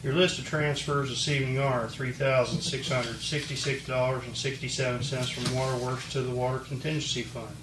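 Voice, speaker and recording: A man's voice: medium pitch, flat delivery, brisk, hardly any pauses. A man from Ohio presenting resolutions and reading dollar figures steadily at a town council meeting. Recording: echoey room, far mic, background noise.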